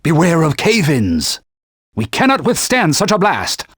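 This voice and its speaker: A man's voice: a little high, huge pitch swings, unhurried, no room sound, heavily acted. A man voicing a talking holy sword, a stern old knightly mentor who speaks formally and barks urgent warnings in a game. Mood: grave. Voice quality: husky, formal, commanding. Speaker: video game character. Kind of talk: game voice clips. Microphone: studio mic. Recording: dry studio recording.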